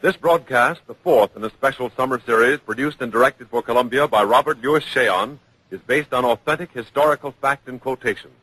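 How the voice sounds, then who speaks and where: A man's voice: medium pitch, a little fast, sharp tone, breathy edge, low-quality recording. A man announcing in solemn, formal 1940s radio style, reading scripted narration.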